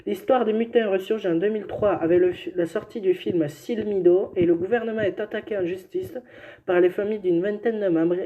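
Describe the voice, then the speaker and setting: A man with a mellow, dark voice reads history notes aloud in a relaxed chat. He often stumbles, restarts words and drops joking asides between facts. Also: high-pitched, slight background noise. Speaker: co-host telling history. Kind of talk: casual reading of history notes.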